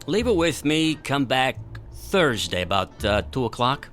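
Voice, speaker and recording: An actor playing a gruff, wisecracking repairman in his late thirties in a radio drama, brusque and cocky. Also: medium pitch, very animated, unhurried, fairly bright tone, faint music behind. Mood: offhand. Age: 30s.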